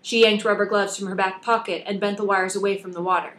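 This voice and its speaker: Woman, author reading aloud, scripted audiobook narration, medium pitch. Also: little pitch movement, fast talker, fairly bright tone.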